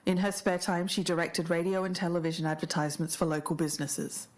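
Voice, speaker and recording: Deep-voiced woman podcaster. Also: fairly even intonation, quick pace. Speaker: podcast co-host. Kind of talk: scripted podcast narration mixed with casual chat.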